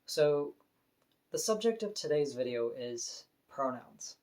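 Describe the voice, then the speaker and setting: A woman with a deep voice, talking frankly and casually to camera in an opinion vlog, now and then laughing softly. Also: animated, slow pace. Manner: frank, conversational, with soft laughs. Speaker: vlogger.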